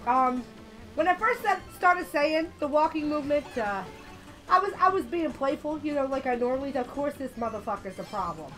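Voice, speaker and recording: Young woman, raspy, a little sick, high voice, chatty gamer, curses a lot, headset mic, faint music behind. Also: lively intonation, brisk, rough recording. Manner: chatty, swears often, loud outbursts at the game. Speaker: gaming channel host. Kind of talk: casual let's-play commentary.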